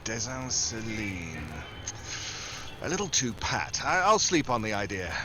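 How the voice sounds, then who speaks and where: An actor playing a wry, tough American secret agent in an old-time style radio drama. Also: male voice, on the low side, sing-song, measured pace, loud music behind the voice. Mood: wry, skeptical. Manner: wry, sardonic.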